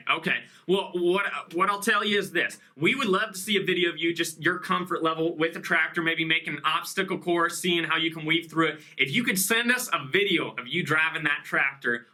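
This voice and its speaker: A man playing an earnest, courteous high school sports coach making a formal recruiting pitch in a prank phone call. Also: pushed and bright. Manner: courteous, formal, salesman-like patter.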